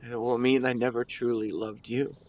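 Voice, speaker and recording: A man playing a grieving, tender husband in an intimate audio drama monologue, speaking haltingly and earnestly to his late wife. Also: medium pitch, flat delivery, darkish tone, close to an everyday voice. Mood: grieving, anguished. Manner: halting, earnest, emotional delivery with pauses. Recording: outdoor ambience with birdsong behind. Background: birdsong and nature ambience.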